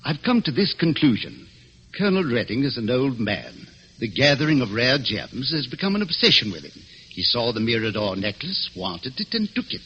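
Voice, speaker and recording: An actor playing an eager, evasive gentleman client in a 1940s radio drama, formal and hesitant in manner. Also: mid-range voice, big pitch swings, normal speed. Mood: persuasive. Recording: dull, noisy vintage radio recording.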